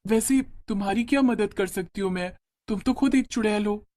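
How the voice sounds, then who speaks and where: A timid young village woman from a cartoon moral tale, acted by a man. The voice is high, and it sounds worried, hesitant and earnest. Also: moderate pace, darkish tone, lightly acted.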